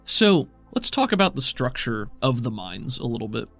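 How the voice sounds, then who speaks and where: A man with a gravelly, creaky voice at a middle pitch, running a tabletop game as game master. He sets scenes in a measured, descriptive way. Faint music plays under him. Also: big pitch swings, fairly bright tone.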